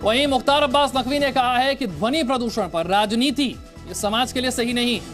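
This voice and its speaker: A man's voice: extremely high pitch, brisk, fairly bright tone. A man presenting television news as an anchor, explaining developments directly to viewers. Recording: music bed under the voice.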